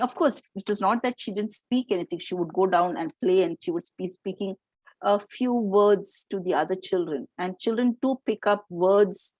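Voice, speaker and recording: A woman's voice: medium pitch, a little mellow, dry recording, rough recording. A middle-aged Indian woman giving an explanatory lecture to teachers, with fillers and restarts. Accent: Indian English.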